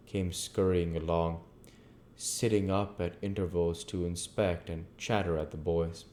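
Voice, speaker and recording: A man's voice: deep voice, mellow, slight background noise. A man reading a classic novel aloud in the style of an amateur audiobook narrator.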